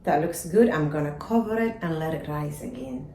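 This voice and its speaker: A woman's voice: low-pitched, lively intonation, moderate pace, gravelly, dark tone, roomy sound, a little hiss or hum. A middle-aged woman from Somalia, chatting casually in her home kitchen as she bakes, often checking in with viewers. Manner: chatty, asks viewers "right?". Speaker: home baker and farm vlogger.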